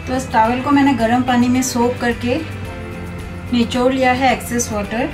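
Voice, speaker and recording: A young woman with a slightly high voice talks through a hair care routine in a calm, patient, instructive way. She sits some way back from the camera's mic, so her voice sounds distant. Clear music plays behind her the whole time. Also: moderate pace. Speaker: beauty vlogger. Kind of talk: step-by-step tutorial. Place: small room indoors.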